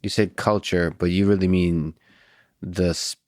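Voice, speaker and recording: A man with a low voice whose first language is Russian, questioning a guest in a hesitant, halting way with many restarts. The sound is close and dry, with no trace of room echo. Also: steady tone, a little mellow. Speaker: podcast host. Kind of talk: interview questions.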